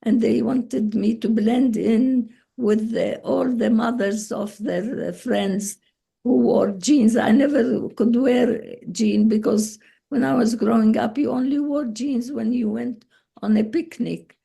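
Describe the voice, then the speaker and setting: A woman in her eighties with a Palestinian Arabic accent, slowly telling her life story as a guest on a video call. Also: fairly high pitch, steady tone, gravelly, mellow, slightly rough. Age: 80s. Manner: slow, halting, with frequent pauses and restarts. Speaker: guest speaker. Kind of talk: relaxed personal storytelling.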